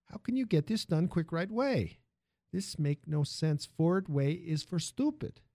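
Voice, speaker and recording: An excitable little immigrant factory hand, as a male narrator plays him, with a high, swooping delivery. He speaks emphatic broken English in an audiobook story, tireless and eager, in a dry close recording. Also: measured pace, no echo. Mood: excited, insistent. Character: small wiry Italian-accented immigrant factory worker, tireless and excitable.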